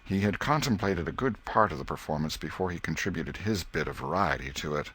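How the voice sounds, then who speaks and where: A man's voice: low-pitched, lively intonation, fairly quick, fairly bright tone, husky. A man reading literary fiction as an audiobook narrator in a scripted storytelling style.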